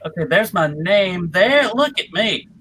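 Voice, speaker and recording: A man's voice: high-pitched, sing-song, measured pace, sharp tone, a little hiss or hum. A man hosting a casual panel discussion over a video call in a chatty, enthusiastic, informal way. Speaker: panel host and moderator.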